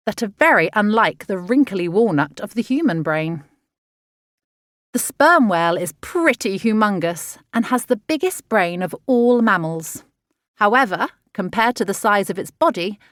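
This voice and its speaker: A woman reading a children's nonfiction audiobook in an expressive, measured, explanatory way. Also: medium pitch, dry recording, clean studio sound. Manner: clear, measured, expressive teaching delivery for children. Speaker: author narrating own audiobook.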